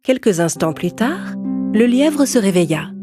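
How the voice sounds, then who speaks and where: A woman's voice: mid-range voice, very animated, unhurried. A woman reading a scripted animal fable for children as the narrator. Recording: clear background music under the voice.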